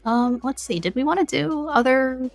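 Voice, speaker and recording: A woman's voice: high voice, lively intonation, moderate pace, creaky, faint music behind. A woman chatting casually as a game developer being interviewed, often laughing through her remarks. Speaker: game developer, podcast guest.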